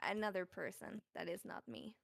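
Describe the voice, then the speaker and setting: A woman whose first language is Spanish, a voice actress telling her story in a casual live-stream Q&A, chatty and excitable. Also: medium pitch, moderate pace, creaky. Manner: chatty, excitable, laughs often. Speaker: voice actress guest.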